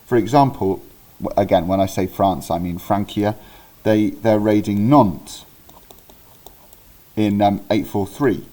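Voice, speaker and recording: A relaxed man with a low, mellow voice, talking through a history lesson the way a teacher does at his desk. He keeps pausing on ums, breaks off to fix a date or a word, then carries on in a friendly, chatty tone. The delivery is unscripted and easygoing. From Wales. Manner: chatty, self-correcting, with frequent ums and restarts. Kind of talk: informal recorded history lesson.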